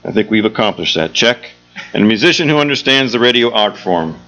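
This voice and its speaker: A man's voice: fairly low pitch, expressive, brisk, a bit bright, a little hiss or hum. A man speaking as a theatre director and host, giving an informal, joking welcome speech to a live audience. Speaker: theatre executive director hosting a show. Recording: dull lo-fi live recording, music behind.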